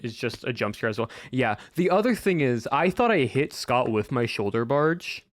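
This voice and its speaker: Man, streamer, flat monotone delivery, rambling chat, home streaming mic. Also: medium pitch, moderate pace, no room sound. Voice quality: somewhat monotone. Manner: rambling, restarts often. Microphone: home streaming microphone with a filter.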